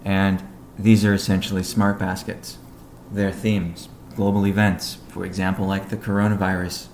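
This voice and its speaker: Low, gravelly man with flat delivery. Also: normal speed. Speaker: company executive giving update. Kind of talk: conference call business briefing. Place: conference call, echoey room. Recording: echoey room, faint background noise.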